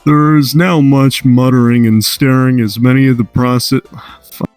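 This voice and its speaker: A man's voice: mid-range voice, steady tone, moderate pace, darkish tone, no room sound, faint music behind. A man casually reading a story aloud, breaking off now and then to chat and swear. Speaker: amateur fan fiction reader.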